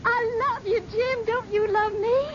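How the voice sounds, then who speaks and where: A tearful young actress. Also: female voice, very high-pitched, fairly even intonation, measured pace. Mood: pleading. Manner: pleading, tearful drawl. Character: young Southern actress, pregnant, desperate lover. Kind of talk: 1970s radio drama acting. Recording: muffled old radio broadcast, faint hiss.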